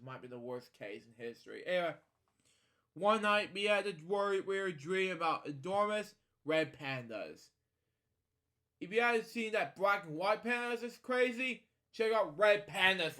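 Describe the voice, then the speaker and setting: Higher-voiced man, rambling casually. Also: sharp tone. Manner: digressive, opinionated commentary addressed to listeners. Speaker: podcast host. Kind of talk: casual rambling read-along of a children's storybook with asides.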